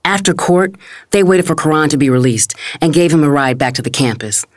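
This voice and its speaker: A woman's voice: low-pitched, fairly quick, natural voice. An actress playing an indignant, protective middle-aged mother, narrating a dramatized audiobook in first person. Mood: indignant, worried.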